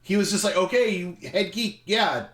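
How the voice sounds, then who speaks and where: A man streaming, speaking in an emphatic, theatrical way with dramatic pauses. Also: high-pitched, a bit bright. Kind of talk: dramatic reading of a film review.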